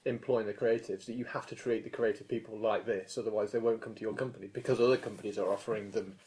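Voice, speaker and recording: A man's voice: on the low side, steady tone, quick pace, darkish tone, rough recording. A British man in his forties hosting a podcast, chatting casually and thinking aloud. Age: 40s. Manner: thinking aloud, tripping over numbers. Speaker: podcast host. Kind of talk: casual chat.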